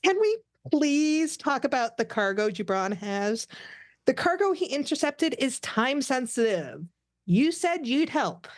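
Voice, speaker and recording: A woman's voice: sits high, sing-song, unhurried, dry recording, clean studio sound, pitched above the performer's own voice. Woman playing an exasperated, embarrassed young alien niece. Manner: pleading, exasperated like a teen. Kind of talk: table read of animated sci-fi comedy script.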